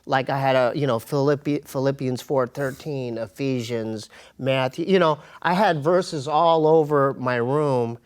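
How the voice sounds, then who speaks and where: An American man telling a personal story as an interview guest, in a casual, slangy and halting but emphatic way. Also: mid-range voice. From United States. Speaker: interview guest, comedian and hip-hop artist.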